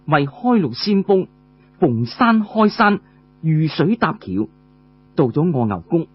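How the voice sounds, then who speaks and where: A man's voice: fairly high pitch, sing-song, slow pace, breathy edge, muffled recording, full character voice. A man voicing a stern woman army marshal who gives formal, clipped orders. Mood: stern, commanding.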